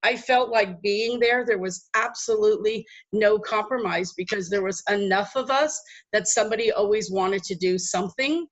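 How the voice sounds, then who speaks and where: A woman's voice: medium pitch, normal speed, dull audio. A woman aged sixty casually recounting her experience as a participant. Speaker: trip participant giving a testimonial. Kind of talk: casual personal recollection.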